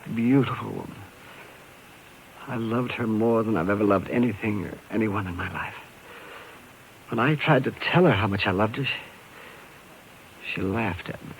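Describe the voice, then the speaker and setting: Haunted carny, played by a man, low American voice, halting and anguished, vintage radio drama with faint hiss. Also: natural voice, low-quality recording. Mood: anguished confession. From Illinois, United States. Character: haunted, desperate, guilt-ridden carnival performer man. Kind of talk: 1950s radio drama acting. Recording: hissy old radio recording.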